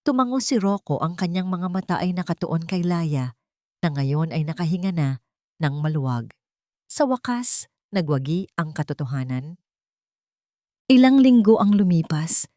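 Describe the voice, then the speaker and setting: Woman, Filipino accent, dark soft-edged timbre, dry sound, dramatic scripted narration. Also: low-pitched, no echo. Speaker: audiobook narrator.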